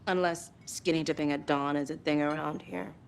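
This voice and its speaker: A brash female writer in a video game drama. Her voice is on the low side with a crackly fry, and she sounds sardonic and guarded, with faint noise behind her. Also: fairly even intonation, normal speed. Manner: sardonic, defensive. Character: brash, wry female true-crime writer from New York. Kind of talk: naturalistic video game acting.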